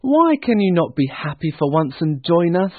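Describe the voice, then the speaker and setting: Man playing a high-pitched, cheery young gentleman. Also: sing-song, normal speed, no echo. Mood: pleading, warm. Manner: exuberant, hearty, pleading. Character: cheerful, warm, earnest young gentleman, the miser's nephew. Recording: thin, phone-like narrow-band sound.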